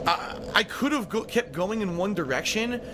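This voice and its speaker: Thirties man streaming a game, unsure and rambling, high lively voice, hiss behind him. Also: normal speed. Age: 30s. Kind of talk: live gameplay commentary.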